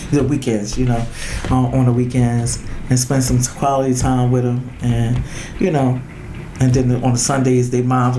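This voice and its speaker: A woman, chatting casually with family and dropping affirming "girl" and "mm-hmm" as she talks. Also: extremely low pitch. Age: 50s. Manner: warm affirming interjections, drawls "girl" and "mm-hmm". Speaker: guest. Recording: echoey room with faint noise.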